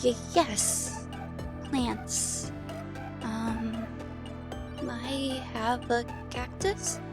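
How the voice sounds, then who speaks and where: A woman plays an anxious teenage programmer who stumbles over her words. Her voice is high and her pace is slow and hesitant. Music is clearly heard underneath. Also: steady tone. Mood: timid, nervous. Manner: hesitant, stammering, timid. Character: shy anxious stammering sixteen-year-old girl programmer. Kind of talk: audio drama acting.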